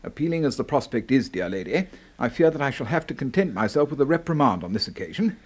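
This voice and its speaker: An English actor playing a pompous, courtly old military boss who flatters smoothly, in a radio drama. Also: male voice, mid-range voice, fast talker. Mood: soothing, flattering. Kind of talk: 1970s radio drama acting.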